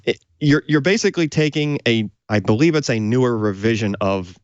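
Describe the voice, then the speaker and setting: A man's voice: medium pitch, expressive, fairly quick, no echo, highs cut off. A man casually explaining technical hardware as a tech show co-host, in an informal, conversational way.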